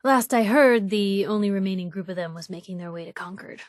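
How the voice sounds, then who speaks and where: A woman's voice: mid-range voice, a little fast, no room sound, studio quality, lightly acted. An actress playing a spirited, nosy young woman reporter in a video game, talking in a wry, inquisitive, punchy and colloquial way. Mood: wry, earnest. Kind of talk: video game companion dialogue.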